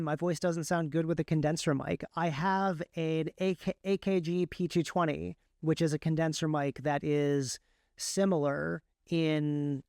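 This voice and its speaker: A man's voice: a little high, darkish tone, clean tone, no room sound, clean studio sound. A man who works as an audiobook narrator, chatting casually and technically as a podcast guest in a rambling, enthusiastic way.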